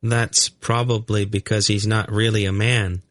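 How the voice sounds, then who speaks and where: A man voicing an uncanny young boy in a storybook, explaining things calmly and matter-of-factly. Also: on the low side, unhurried, no echo, clean studio sound, pitched above the performer's own voice, natural voice. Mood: calm, knowing. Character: calm, uncanny young blond boy who reads minds.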